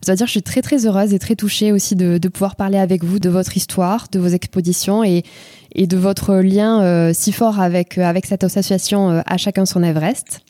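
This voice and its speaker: Mellow woman podcast host, close and dry. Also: mid-range voice, steady tone, fairly quick, dry recording. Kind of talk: scripted podcast introduction and interview.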